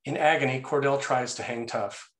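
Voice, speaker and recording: A man's voice: mid-range voice, fairly even intonation, moderate pace, crowd noise. A man narrating action and scene headings from a screenplay in a scripted read. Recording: roomy, echoey sound.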